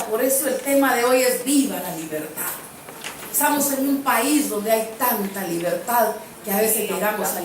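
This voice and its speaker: A woman's voice: a little high, background noise. A woman preacher giving an earnest sermon, telling a personal story and repeating phrases for emphasis. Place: church sanctuary. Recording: very echoey church from a distance.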